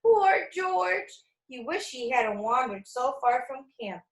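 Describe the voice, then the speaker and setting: A woman reading a children's picture book aloud as a storyteller, expressive and teacherly, with asides to young listeners. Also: high-pitched, slow. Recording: echoey room.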